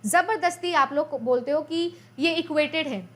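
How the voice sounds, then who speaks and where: A woman's voice: high-pitched, moderate pace, fairly bright tone. A woman teaching an exam-prep lecture in a chatty way, often repeating her points and asking her students questions as she goes. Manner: mixes Hindi and English terms.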